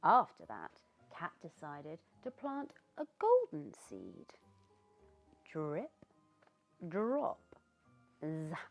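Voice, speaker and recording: A British woman reading a children's story aloud in an expressive, theatrical way, with asides to the young listener. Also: low voice, drawn-out words, long pauses. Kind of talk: animated read-aloud narration for young children. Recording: dull lo-fi sound with background music. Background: music.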